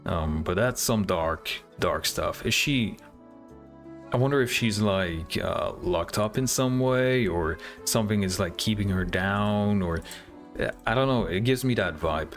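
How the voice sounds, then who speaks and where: A man with a low-pitched voice gives casual, off-the-cuff reaction commentary. He sounds hesitant, thinking aloud as he goes. Music is clearly audible behind him. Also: expressive, measured pace. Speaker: reaction video host.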